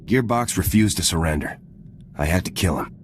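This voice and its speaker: A man voicing a stoic, dutiful soldier in a video game, measured and terse. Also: deep voice, animated, slight background noise. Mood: matter-of-fact. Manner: measured, terse, matter-of-fact.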